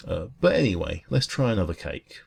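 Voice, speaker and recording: A man voicing a friendly, food-loving dragon who bakes at a café, chatting gently and earnestly in a rambling ASMR roleplay. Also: deep voice, very animated, a little fast, vocal fry, a little hiss or hum, full character voice. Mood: chatty, easygoing. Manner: gentle, rambling, hesitant, earnest enthusiasm about baking. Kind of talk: ASMR roleplay, soft casual chat.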